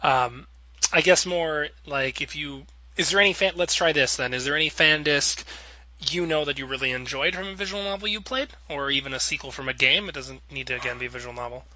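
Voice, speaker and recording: Guy hosting a podcast panel, really bright punchy voice, dry close mic, chatty wordy questions. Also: a little high, fairly quick, dry recording, low-quality recording. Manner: informal, wordy, rephrases his questions with examples. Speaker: podcast host and moderator. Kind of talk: casual panel discussion, putting questions to guests.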